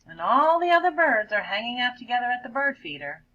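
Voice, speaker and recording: A woman teacher reading a picture book aloud to children, expressively, stretching words and dropping into hushed explanatory asides. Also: on the high side, very animated, brisk, dull audio. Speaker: elementary school teacher.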